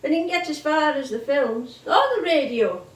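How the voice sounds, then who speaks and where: Woman acting a high-voiced old Northern comedienne. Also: animated, normal speed. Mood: reminiscing. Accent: Northern English. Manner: homely, chatty, wistful. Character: old, warm, chuckling music-hall comedienne. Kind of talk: stage monologue and dialogue acting. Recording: distant mic in echoey theatre.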